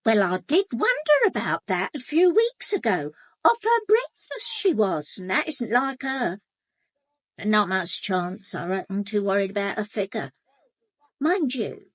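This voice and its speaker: An actress playing a gossipy, blunt English housekeeper in a British radio drama, chatty, colloquial and confiding. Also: female voice, medium pitch, sing-song, measured pace, husky, dry recording. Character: gossipy, blunt, sharp-eyed housekeeper, a working-class woman. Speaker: actor in a radio murder mystery.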